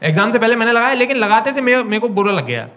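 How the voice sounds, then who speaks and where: A young man with an Indian accent doing a stand-up comedy set in a small club, telling stories with animated acted-out dialogue into a handheld mic. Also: extremely high pitch, very fast. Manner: animated storytelling, acting out dialogue. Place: small comedy club stage before a live audience. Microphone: handheld stage mic.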